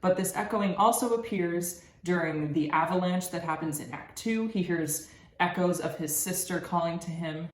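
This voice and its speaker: A woman's voice: lowish voice, normal speed. A young adult woman giving a prepared academic presentation as a student, articulate and explanatory. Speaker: student presenting thesis research. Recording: echoey room with background noise.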